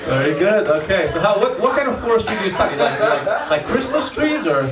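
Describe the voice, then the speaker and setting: A man's voice: high voice, very animated, fairly quick, heavy background noise. A man with a Romanian accent, a stand-up comedian hosting an open mic, blunt and crude as he teases the audience. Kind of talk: crowd work stand-up. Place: comedy club with live audience. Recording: roomy club sound, distant mic, crowd.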